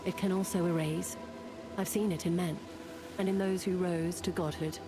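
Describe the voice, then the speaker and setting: An actress playing a solemn, guarded woman who keeps dangerous secrets, speaking in a grave, measured way in a fantasy game. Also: on the low side, steady tone, over music, natural voice. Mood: somber, bitter. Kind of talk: dramatic fantasy game narration.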